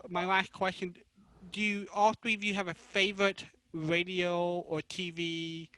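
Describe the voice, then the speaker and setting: A man moderating a casual live panel interview, asking halting, rambling questions. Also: sits high, flat delivery, fairly bright tone, a little hiss or hum, low-quality recording.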